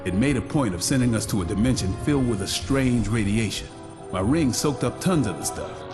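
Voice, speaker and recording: A man playing a confident, steady superhero in a video game, assured and plain-spoken. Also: mid-range voice, a little fast, music in the background, natural voice.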